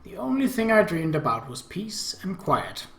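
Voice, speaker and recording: A man hosting a let's play, reading a visual novel aloud and adding casual, halting commentary. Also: high voice, big pitch swings, vocal fry, fairly bright tone. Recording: echoey room.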